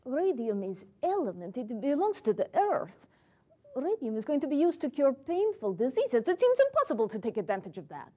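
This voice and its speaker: Period monologue: actress as a proud woman scientist, high-voiced, measured and formal. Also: wildly swooping pitch, dark tone, exaggerated voice. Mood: earnest. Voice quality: measured, earnest, formal phrasing. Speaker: living history performer. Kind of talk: one-woman stage monologue. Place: dark stage set, indoors.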